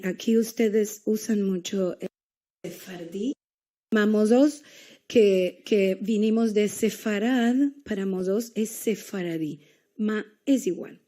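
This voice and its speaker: A woman from Argentina with an Argentine accent, giving a university lecture with small pauses and hesitations. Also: mid-range voice, warm tone. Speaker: guest lecturer.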